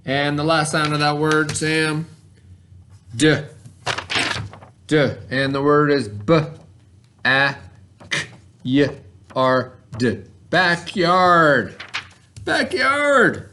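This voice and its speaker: A man's voice: on the high side, very slow, long pauses, background noise. A man teaching a remote reading lesson to children in an informal, instructional way, carefully sounding out letters and words. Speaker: elementary school teacher.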